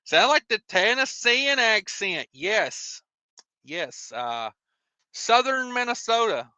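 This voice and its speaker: A man's voice: high-pitched, very animated, slow, bright, forceful tone, no room sound, highs cut off. An American man hosting a casual livestream, reading out viewer comments and replying in a folksy, rambling, colloquial way. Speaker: livestream host, truck driver.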